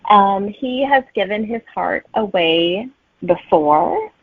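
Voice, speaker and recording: A woman's voice: mid-range voice, lively intonation, slow, husky, dry recording, phone-quality sound. A woman chatting casually as an author being interviewed, heard over a thin remote connection.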